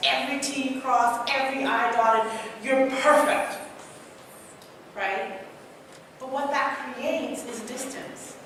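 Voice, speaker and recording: A woman with a Trinidadian accent, a motivational speaker giving an energetic live talk to an audience in a large hall, asking them questions and telling personal stories. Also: high-pitched, slow, long pauses, slight background noise. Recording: echoey hall, mic heard from a distance.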